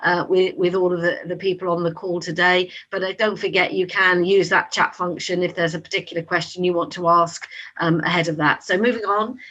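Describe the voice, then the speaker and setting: A woman's voice: on the low side, level tone, fairly quick, fairly bright tone, lo-fi sound. A woman hosting a webinar on a video call, introducing speakers in a friendly way with many fillers. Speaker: webinar host.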